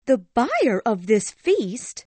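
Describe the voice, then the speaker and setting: A woman voicing a harried, sharp-tongued poor mother in a storybook reading, indignant and scornful. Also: mid-range voice, sing-song, slow, no echo. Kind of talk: audiobook character voice.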